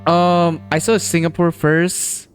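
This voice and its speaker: Slow-talking playful male streamer. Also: fairly high pitch. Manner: relaxed, playful reactions. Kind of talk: casual live game commentary. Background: game music.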